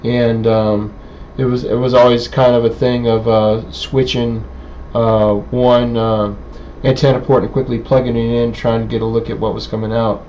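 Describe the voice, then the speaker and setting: Male presenter for an informal technical walkthrough: subdued, even tone, hesitant pacing with pauses, slightly noisy lo-fi sound. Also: medium pitch. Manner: halting, hesitant delivery with many pauses. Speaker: presenter, radio hobbyist. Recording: dull, lo-fi sound with faint background noise.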